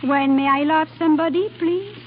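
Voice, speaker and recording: An actress playing a playful, impulsive young Frenchwoman with a French accent and halting English in an old radio drama. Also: sits high, close to an everyday voice. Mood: wistful, insistent. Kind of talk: 1930s-40s radio drama acting. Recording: tinny, hissy old radio broadcast.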